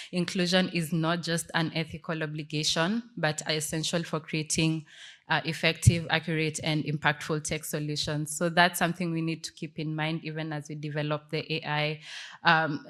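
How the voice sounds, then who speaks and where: A woman's voice: low-pitched, a bit bright. A woman from Kenya with a Kenyan accent, giving a conference presentation as a panelist. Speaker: panelist, tech community manager presenting.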